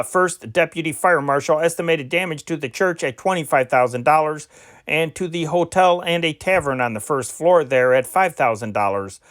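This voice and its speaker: A man's voice: a little high, dry recording, studio quality. A man reading scripted news reports as an amateur, carefully spelling out names and figures with occasional stumbles. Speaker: amateur reader of historic newspaper reports.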